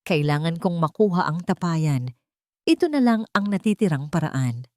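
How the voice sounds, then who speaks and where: A woman's voice: on the low side, lively intonation, normal speed, darkish tone, no echo, clean studio sound, close to an everyday voice. A woman voicing a desperate, urgent hunted man planning his escape in an audio story.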